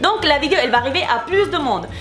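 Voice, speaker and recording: A woman's voice: sits high, expressive, rapid-fire, a bit bright, faint music behind. A young woman from Spain with a Spanish accent, speaking French as a beauty vlogger, heated and repetitive as she answers comments. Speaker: beauty YouTuber. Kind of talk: casual vlog, replying to comments.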